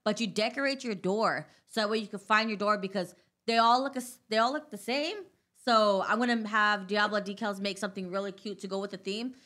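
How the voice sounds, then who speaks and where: A chatty woman co-hosting a livestream with a Southwestern US accent and a bright, forward tone. She talks casually into a studio boom mic in a small room, laughing easily and reading out viewer comments. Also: fairly quick. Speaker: co-host. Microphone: studio mic on a boom, headphones.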